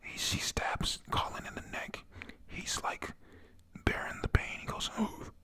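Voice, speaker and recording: A breathy, whispered voice reading a comic chapter aloud and recapping it, halting over lines and adding casual, sweary, excitable asides. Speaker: narrator recapping a manga story.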